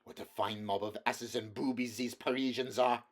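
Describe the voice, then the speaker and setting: A man's voice: mid-range voice, steady tone, normal speed, natural voice. A man reading a story aloud, voicing a vain poet who grumbles in exasperation. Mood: grumbling.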